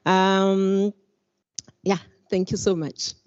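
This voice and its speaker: South African woman, slow and gracious, on a dry dull mic. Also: mid-range voice, level tone, a little mellow, clear, resonant tone, no room sound. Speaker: panelist. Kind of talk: panel self-introduction. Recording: dull lo-fi sound.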